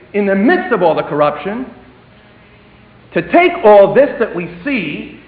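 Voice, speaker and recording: Male preacher, high and very animated voice, emphatic with sudden hushed drops, echoey hall. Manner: emphatic, dramatic swings between loud and hushed delivery. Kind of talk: sermon. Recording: echoey hall, tinny phone-like sound.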